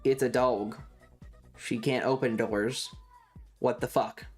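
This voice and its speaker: A man's voice: medium pitch, fairly even intonation, slow pace, darkish tone, slightly rough, soft background music. A man telling a story casually on a livestream, rambling and swearing in an exasperated way, acting out bits of dialogue as he goes. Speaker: streamer.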